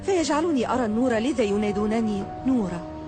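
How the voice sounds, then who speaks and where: A woman's voice: on the high side, expressive, quick pace, music in the background, close to an everyday voice. A woman playing a kind young heroine in a dubbed superhero cartoon, earnest and explanatory. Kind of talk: dubbed cartoon acting in formal Arabic.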